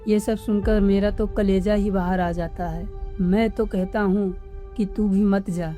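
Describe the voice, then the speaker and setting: Woman as a mellow, timid village lad. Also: mid-range voice, fairly even intonation, measured pace, faint music behind, lightly acted. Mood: worried warning. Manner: worried, cautioning. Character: timid, superstitious young male villager, cautious friend. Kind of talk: cartoon story dialogue.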